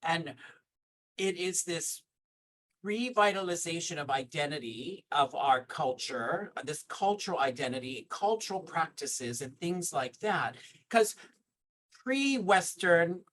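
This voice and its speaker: A man's voice: a little high, expressive, measured pace, a bit bright. A man from Hawaii speaking earnestly on a discussion panel, often repeating phrases and checking in with "right?".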